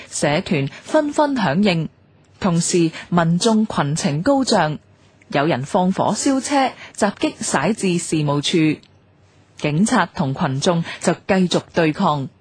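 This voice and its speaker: A woman radio presenter with a Hong Kong Cantonese accent, reading a scripted documentary in a formal, measured way. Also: fairly low pitch, a little mellow, husky.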